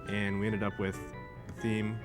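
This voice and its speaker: A young man, a student musician, explaining his work in a relaxed interview style. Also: fairly low pitch, moderate pace, music in the background. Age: young adult.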